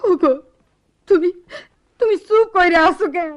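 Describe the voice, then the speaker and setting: Very high, tearful village wife. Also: female voice, a little slow. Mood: distraught. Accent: rural Bangladeshi. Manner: tearful, insistent repetition. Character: distraught, loyal village wife of goldsmith. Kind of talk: Bengali radio play acting.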